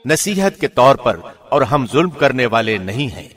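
A man reads scripture translation formally, like a radio presenter. His mid-pitched voice moves widely up and down within each sentence, and a long reverb trails his words. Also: very animated, a bit bright, soft background music.

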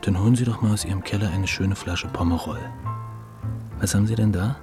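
A low-voiced, suave man of means played by an actor in a radio play. He is sociable and generous, used to giving orders in an easy way. Music plays clearly behind him. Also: brisk, lightly acted. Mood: suave, commanding. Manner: suave, casually commanding. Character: smooth, commanding wealthy male hotel-bar regular, generous and sociable. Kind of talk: German radio drama acting.

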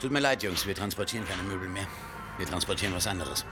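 Actor as male beer smuggler boss, low voice, quick curt delivery, music behind. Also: lightly acted. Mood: curt, businesslike. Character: brisk, businesslike male bootlegger boss hiring muscle. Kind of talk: German radio play dialogue.

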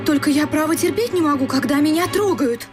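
A woman's voice: sits high, lively intonation, fairly quick, music in the background. An actress playing a naive, skittish young lady in a radio vaudeville, prim, girlish and artless. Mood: flustered. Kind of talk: stage vaudeville radio play acting.

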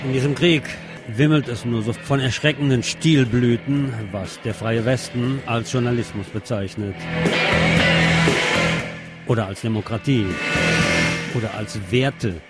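A man's voice: mid-range voice, fairly even intonation, unhurried, long pauses, over loud music. A man presenting scripted news commentary as a radio presenter, with pointed, sardonic phrasing.

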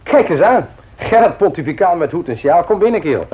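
A man's voice: fairly high pitch, very animated, fairly quick, lo-fi sound, background noise. A male radio play actor playing a conscientious family man, speaking naturally, hesitantly and earnestly. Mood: jovial, welcoming. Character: conscientious, troubled family man and office worker, jovial host. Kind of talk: naturalistic Dutch radio drama acting.

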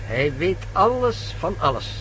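An analytical professor in a radio play, a fairly high, lively voice. He explains carefully with hesitant pauses. A faint hiss lies under the old recording. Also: heavily acted, low-quality recording. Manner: precise, hesitant with "eh".

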